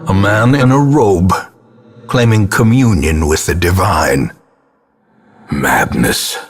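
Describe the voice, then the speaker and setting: Deep, husky, grim old ancestor. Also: male voice, heavily acted. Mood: solemn, foreboding. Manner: solemn, ornate, deliberate dramatic delivery. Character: grim, guilt-ridden old male ancestor, theatrical and foreboding. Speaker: narrator. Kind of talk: gothic horror game narration.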